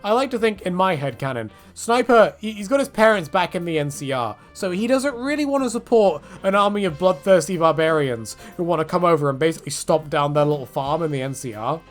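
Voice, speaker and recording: High-pitched, animated man on a jokey rant. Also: a little fast, hardly any pauses, faint music behind. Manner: jokey, rambling, slangy, acts out imagined quotes. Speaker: video creator, game commentator. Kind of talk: casual opinionated rant.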